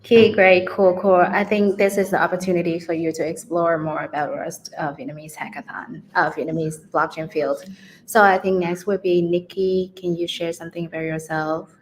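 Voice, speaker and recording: A woman's voice: on the low side, little pitch movement, normal speed. A woman hosting a virtual event, introducing speakers in a hesitant, informal way. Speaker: event host. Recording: noisy online call.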